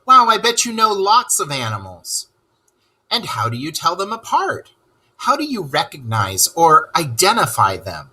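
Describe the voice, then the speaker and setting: A man's voice: on the high side, huge pitch swings, unhurried, sharp tone, husky, full character voice. A man voicing a cheerful male rat who is an animal expert and world traveler, teaching children and asking them questions. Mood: enthusiastic. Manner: enthusiastic, asks the listener questions. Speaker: read-aloud teacher. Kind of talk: children's lesson read-aloud.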